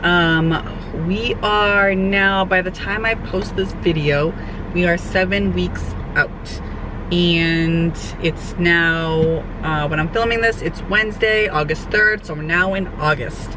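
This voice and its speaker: Young woman, hoarse, nasal, rambling casual vlog, fillers, outdoor camera audio, traffic noise. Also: fairly low pitch, takes it slowly. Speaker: fitness vlogger and trainer. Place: sitting outdoors, traffic nearby. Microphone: camera mic.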